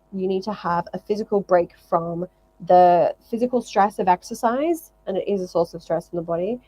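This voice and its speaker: A woman's voice: medium pitch, darkish tone, rough recording. Emphatic woman fitness vlogger. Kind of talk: casual explanatory talk to viewers.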